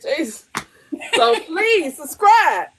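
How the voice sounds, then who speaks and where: A woman's voice: very high voice, big pitch swings, drawn-out words, fairly bright tone, a little hiss or hum. A woman hosting a podcast in casual chat, blunt and profane, laughing often. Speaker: podcast host.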